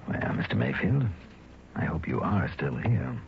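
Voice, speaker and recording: An actor playing a brusque, wry insurance investigator, who also narrates in the manner of a 1960s radio detective drama. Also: male voice, very deep voice, big pitch swings, unhurried, vocal fry, slight background noise, heavily acted. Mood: wary. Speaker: series lead and narrator. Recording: dull vintage radio recording, music behind.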